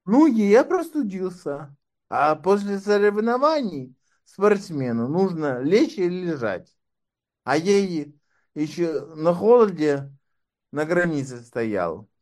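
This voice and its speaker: A man's voice: high voice, very animated, slow pace, a little mellow. A man speaking as an interview guest and doctoral candidate, talking in a wry, sardonic way. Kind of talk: casual conversation.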